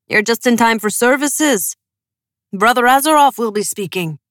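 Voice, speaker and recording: A woman's voice: a little high, animated, moderate pace, fairly bright tone, no room sound, studio quality, pitched above the performer's own voice. A woman voicing a cautious, anxious male cult elder from a storybook, pleading in a raised voice.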